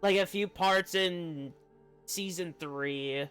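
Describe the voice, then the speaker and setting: A man chatting casually on a game livestream, rambling and halting as he talks. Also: high-pitched, a bit bright. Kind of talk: casual chat while streaming a game. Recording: game music behind the voice. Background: video game music.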